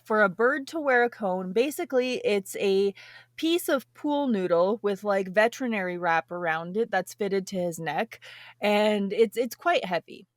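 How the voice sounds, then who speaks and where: A woman's voice: medium pitch, animated, measured pace, dry recording, studio quality. A woman co-hosting a podcast in casual chat, blunt and swearing casually. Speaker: podcast co-host.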